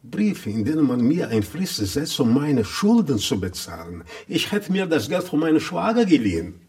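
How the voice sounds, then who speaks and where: Ein Schauspieler spielt im Hörspiel einen ruinierten, gekränkten Ladenbesitzer, heiser, bitter und klagend, etwas entfernt vom Mikrofon. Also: male voice, on the high side, very animated, moderate pace, a little mellow, distant mic, heavily acted. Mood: bitter, distressed. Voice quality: very husky. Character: aggrieved, ruined shop owner, adult man. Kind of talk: radio drama monologue.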